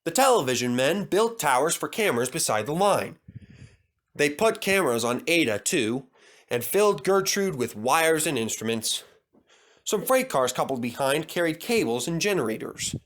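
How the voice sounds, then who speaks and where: A man narrating a children's storybook with slow, expressive storytelling. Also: fairly high pitch. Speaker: storybook reader.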